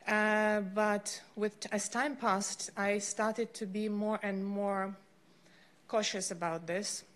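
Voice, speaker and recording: A woman's voice: medium pitch, flat delivery, takes it slowly. A woman from western Ukraine with a Ukrainian accent, asking a long formal question carefully and hesitantly. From western Ukraine, Lviv. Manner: careful, hesitant, with many fillers. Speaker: audience member asking a question.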